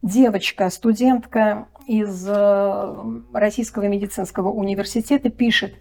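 A woman's voice: mid-range voice, fairly even intonation, normal speed, warm tone. A woman on a radio discussion who presents a student project, speaking in a hesitant, thoughtful way with many fillers. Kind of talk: radio discussion, reading and retelling texts.

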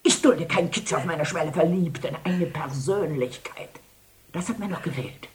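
An actress playing an imperious older brothel madam in a radio drama, haughty, mocking and declamatory. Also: female voice, low-pitched, normal speed, noisy background, natural voice. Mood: scornful scolding. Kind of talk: theatrical German radio drama acting.

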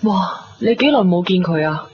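A woman's voice: lowish voice, animated, moderate pace, a little mellow, lightly acted. A woman acts a breezy, teasing friend in a Hong Kong Cantonese radio drama. Her intonation swings widely. The sound is dull, with faint room noise behind. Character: breezy, teasing friend visiting a hospital patient. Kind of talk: 1990s radio drama acting.

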